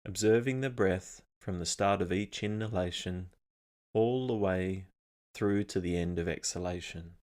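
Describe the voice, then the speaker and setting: A man leading a scripted guided meditation in a calm, measured, instructional manner. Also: sits low.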